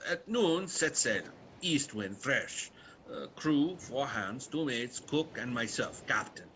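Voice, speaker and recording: Sea captain for radio drama, male actor: bright forceful tone, measured terse delivery, grim, dutiful, afraid. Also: medium pitch, expressive. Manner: terse, clipped phrasing. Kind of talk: radio play acting, log narration. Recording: faint background noise.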